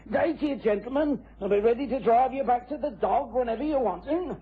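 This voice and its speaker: An actor playing a grumbling, folksy country carriage driver with a rural English accent in a period radio drama. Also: male voice, extremely high pitch, brisk, breathy edge, full character voice. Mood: coaxing. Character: grumbling rustic old-fashioned carriage driver and gardener. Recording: tinny, band-limited old radio broadcast.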